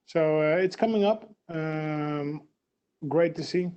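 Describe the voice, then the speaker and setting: Dutch-accented male fighter, slightly high voice, plain answers, lots of uhs. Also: slow, a little mellow, clean tone, lo-fi sound. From Netherlands. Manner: short plain answers with many fillers. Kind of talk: press interview answers.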